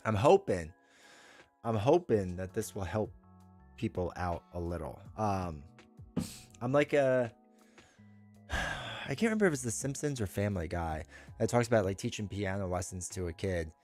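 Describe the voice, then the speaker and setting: A man's voice: fairly low pitch, big pitch swings, moderate pace, long pauses, darkish tone, faint music behind. A man with a stuffed-up voice from a cold, hosting a casual live stream. He talks in a rambling, opinionated way, often restarting his sentences as he reads and answers chat. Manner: rambling, self-correcting, opinionated. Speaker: streamer host.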